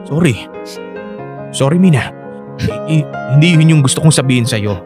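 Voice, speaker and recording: An actor playing an irritable, evasive husband in a Tagalog radio drama, with a Filipino accent and halting delivery. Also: male voice, fairly high pitch, animated, over music, natural voice. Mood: apologetic. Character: exasperated, conflicted husband dodging his wife's questions.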